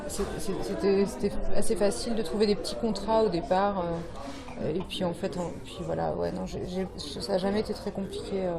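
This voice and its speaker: A woman in her thirties from Brittany, a fashion designer guest, talking casually and haltingly with many fillers and restarts. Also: medium pitch, steady tone, vocal fry. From France, Brittany. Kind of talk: casual interview talk. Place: bar evening event with crowd. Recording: crowd chatter behind.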